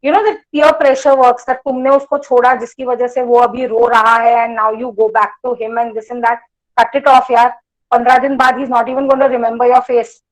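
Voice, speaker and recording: A woman of about forty with an Indian accent and a hoarse voice, giving a blunt, emphatic motivational talk full of personal anecdotes. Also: on the high side, little pitch movement, racing, poor audio. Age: late 30s or around 40. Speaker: motivational speaker.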